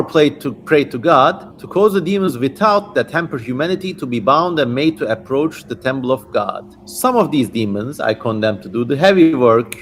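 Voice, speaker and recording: A man's voice: mid-range voice, fairly bright tone. Male livestream reader, noisy background. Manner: irreverent, slangy asides. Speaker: livestream host. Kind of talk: dramatic reading with casual tangents. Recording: livestream with clear background noise.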